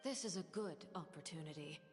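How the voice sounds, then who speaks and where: Game spymaster, played by a woman: commanding, low-voiced, measured and formal, cool and calculating, with music clearly underneath. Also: close to an everyday voice. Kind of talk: scripted video game voice acting.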